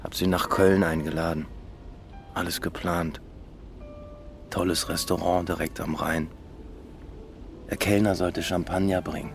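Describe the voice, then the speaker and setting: A man's voice: low voice, little pitch movement, unhurried, vocal fry, pauses often, faint music behind. An actor playing a troubled, sardonic man in a radio drama, speaking in terse, dry sentences. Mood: wry, subdued. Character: troubled, sardonic man confiding in a drinking companion. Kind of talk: naturalistic radio drama dialogue.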